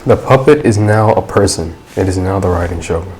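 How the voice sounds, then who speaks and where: A man's voice: low voice, brisk, crowd noise, poor audio. A man giving casual, slangy reaction commentary while playing through a game. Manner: slangy, awed exclamations. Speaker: gamer reacting to a story quest. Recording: loud game music behind the voice. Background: game music.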